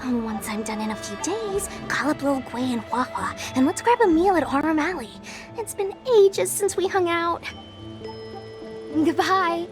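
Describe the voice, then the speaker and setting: A woman voicing a perky young female guard in a video game, chummy yet dutiful, with a girlish, expressive delivery. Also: sits high, a little slow. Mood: mock stern. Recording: game music behind the voice.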